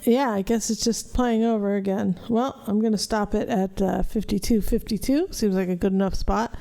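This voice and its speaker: A woman's voice: mid-range voice, fairly even intonation, moderate pace, gravelly, warm tone. A middle-aged woman hosting a podcast, giving casual, blunt commentary with some swearing. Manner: blunt, profane. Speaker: podcast host. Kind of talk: casual watch-along commentary.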